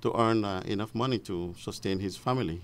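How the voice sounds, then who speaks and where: A man giving formal, measured, halting explanations as an expert guest on radio. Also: lowish voice, clean tone. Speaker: fisheries officer, studio guest expert. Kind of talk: explanatory interview answers. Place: radio studio.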